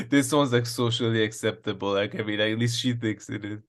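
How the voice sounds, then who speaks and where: A man's voice: on the low side, a little fast. A man co-hosting a comedy podcast, chatting casually and easily, agreeing often and laughing between his lines. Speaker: podcast co-host.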